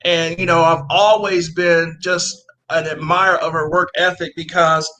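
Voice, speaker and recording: Bright-voiced male podcast host. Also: fairly high pitch, little pitch movement, measured pace, rough recording. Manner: rambling, with many fillers and restarts. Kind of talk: casual interview chat.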